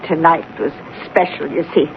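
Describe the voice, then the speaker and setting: A woman's voice: low voice, normal speed. An actress playing a landlady in her seventies, formal, apologetic and confiding, in a 1970s radio drama. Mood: deferential, confiding. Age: 70s. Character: elderly female landlady, courteous, gossipy, deferential. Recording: tinny, noisy old radio recording.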